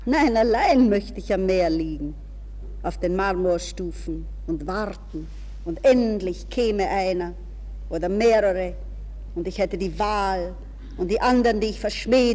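A woman's voice: medium pitch, unhurried, a little mellow, big-room sound, lightly acted. An actress playing a desperate, dreamy young woman from a good Viennese family in a theatrical interior monologue for a German radio play. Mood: dreamy, longing.

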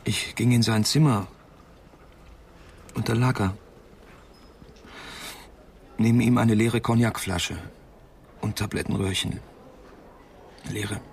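Bedrückter junger Student, leicht knarrend. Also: male voice, fairly low pitch, fairly even intonation, darkish tone, slight background noise. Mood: subdued, guilty. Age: young adult. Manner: halting, subdued, confessional. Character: troubled young male medical student, devoted to his flatmate. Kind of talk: German radio crime drama acting.

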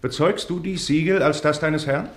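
An actor playing an officious, self-important Venetian notary in a German radio drama, speaking formally and pompously. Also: male voice, fairly high pitch, moderate pace, natural voice.

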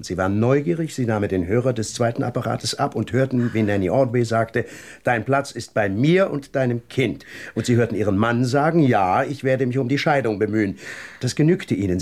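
An actor in a German radio play voicing a shrewd, relentless police detective with a formal, insistent manner. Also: male voice, medium pitch, darkish tone, natural voice.

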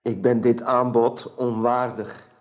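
An actor playing a troubled, honourable knight, grave, earnest and husky, in a radio drama. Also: male voice, mid-range voice, little pitch movement, slow pace, lightly acted. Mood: solemn refusal. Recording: dull lo-fi old radio recording.

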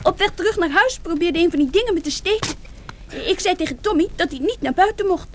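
Very high-voiced, lively little girl. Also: fast talker, slight background noise. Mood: earnest. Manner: childlike, artless, matter-of-fact. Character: brave, frank, innocent nine-year-old orphaned girl. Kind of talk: Dutch radio drama acting.

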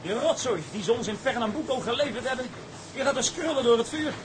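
Crewman: extremely high voice, hesitant, grumbling, deferential, radio drama over clear ship noise. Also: lively intonation, quick pace. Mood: uneasy. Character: deferential male crewman, nervy, grumbling about bad coal. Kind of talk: Dutch maritime radio drama acting.

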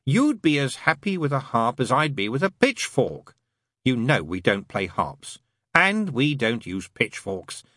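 Storybook demon, male, read by a male narrator: glum, sardonic persuader, husky, colloquial and needling. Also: mid-range voice, sing-song, a little slow, a bit bright, dry recording, studio quality, voice pushed up. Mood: insistent. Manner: colloquial, needling, emphatic lists.

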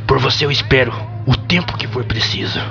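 Earnest, formal romantic suitor played by a man, gravelly, lo-fi radio melodrama with faint music. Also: medium pitch, expressive, a little fast, pushed and bright. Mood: lovestruck. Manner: gushing, formal. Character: Ricardo Antônio, earnest old-fashioned smitten suitor. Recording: dull lo-fi audio under music.

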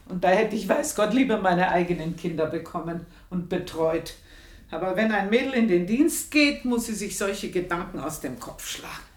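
An actress playing an aging, gossiping woman servant in a radio drama, confiding in a hushed voice that turns emphatic. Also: sits low. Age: older. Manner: confiding, hushed then emphatic, dwells on phrases. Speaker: narrating character. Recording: roomy radio studio sound.

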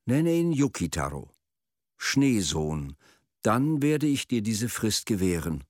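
A man voicing a commanding snow woman spirit as a storyteller in a radio play, measured in delivery. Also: on the low side, big pitch swings, darkish tone, dry recording, clean studio sound, heavily acted. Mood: bargaining.

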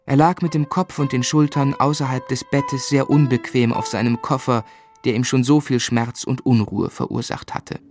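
A courteous young man , acted by a man, tells his own story in a radio play. His mid-pitched voice is affectionate and measured, with faint music behind. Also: fairly even intonation, natural voice. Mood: reflective. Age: young adult. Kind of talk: literary first-person narration and radio play acting.

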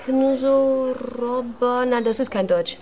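A woman's voice: fairly high pitch, a little mellow. A woman acting in a radio drama as a careful, matter-of-fact helper translating a letter. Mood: careful, puzzled. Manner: careful, halting while reading out.

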